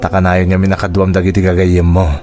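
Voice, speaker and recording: A man's voice: low voice, completely flat delivery, fast, darkish tone, faint music behind, lightly acted. A man playing a blunt, scolding character in a melodramatic radio drama, with an Ilocano accent. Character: blunt, scolding man confronting a neglectful mother.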